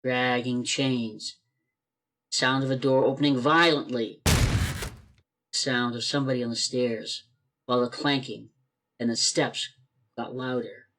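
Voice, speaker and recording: A man's voice: mid-range voice, fairly even intonation, unhurried, long pauses, fairly bright tone, slight background noise. A man narrating a church radio play over a video call, reading expressively and building suspense.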